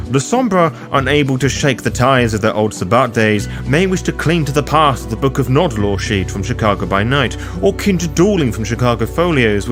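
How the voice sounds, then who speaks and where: A man delivers scripted guide narration with a very animated, varied melody to his speech. His manner is theatrical and playful, with dry asides slipped in. Music plays clearly behind his voice, which sits at an ordinary male pitch. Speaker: host of a roleplaying lore guide series. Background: background music.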